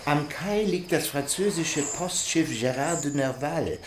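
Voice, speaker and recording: An actor playing a polite, fussy colonial creditor in a radio drama, courteous, precise and given to hemming. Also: male voice, a little high, animated, unhurried. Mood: helpful, fussy. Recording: echoey room, clear noise, clicks.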